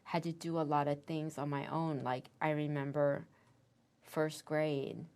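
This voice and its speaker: An American woman in her fifties with a notably low, deep voice speaks softly and reflectively in an interview. She pauses often and restarts her words, taking her time as she recalls things. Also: level tone, smooth. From Korea, raised in the United States. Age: 50s. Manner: soft-spoken, thoughtful, with hesitant restarts. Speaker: interviewee, Korean adoptee.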